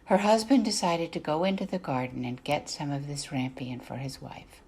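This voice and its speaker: Female storybook narrator, lowish and creaky, deliberate dramatic phrasing, softly falling phrase ends. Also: steady tone. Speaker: narrator, artist reading excerpts. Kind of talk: scripted storybook narration for adults.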